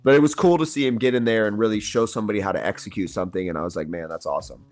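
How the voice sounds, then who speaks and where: A man's voice: lowish voice, rapid-fire, darkish tone. A man in his late twenties, a wrestler chatting casually on a livestream in a laid-back, slangy way. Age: 20s. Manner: laid-back, slangy, says "bro" a lot. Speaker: wrestler guest.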